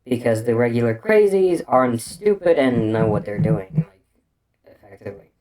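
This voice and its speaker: A man's voice: medium pitch, sing-song, normal speed, crowd noise, poor audio. A man living in Bulgaria with an unusual accent, rambling through a casual, crude commentary full of restarts and fillers. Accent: unusual non-native English accent.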